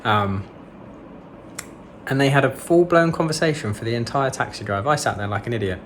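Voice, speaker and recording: A man vlogging in a relaxed, rambling way, full of ums and restarts. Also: medium pitch, normal speed, a little hiss or hum. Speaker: travel vlogger. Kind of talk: casual chat to camera.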